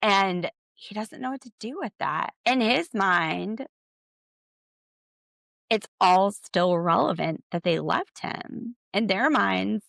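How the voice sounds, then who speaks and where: An American woman hosting a podcast, giving casual, opinionated commentary in an animated, sarcastic, slangy way. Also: mid-range voice, takes it slowly, creaky, no room sound, clean studio sound. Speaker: podcast host.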